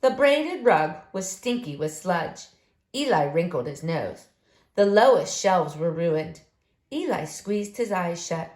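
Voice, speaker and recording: A woman narrates a children's storybook with dramatic, deliberate phrasing. Her pitch moves around a lot and her pace is unhurried. The room adds a noticeable echo. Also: animated, darkish tone. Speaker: storybook reader. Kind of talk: expressive children's read-aloud narration. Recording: roomy, echoing room sound.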